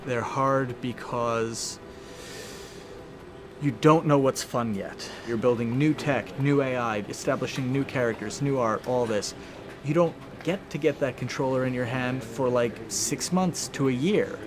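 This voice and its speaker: A man's voice: medium pitch, a little slow. A man working as a game developer, explaining in short listed phrases during an unscripted behind-the-scenes interview. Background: music.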